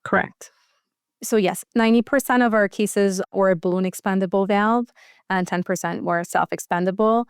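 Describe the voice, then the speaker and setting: A woman's voice: mid-range voice, steady tone, normal speed, clear, resonant tone, dry recording, clean studio sound. A woman speaking as a physician researcher and interview guest, explaining clinical details in a matter-of-fact, conversational way.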